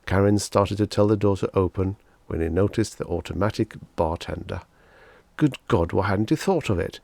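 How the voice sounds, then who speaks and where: A man reads fiction aloud as an audiobook narrator. His voice sits low and has a creaky, gravelly grain. The sound is very dry, with hardly any room around it. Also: normal speed, a little mellow, dry recording. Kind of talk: scripted story narration.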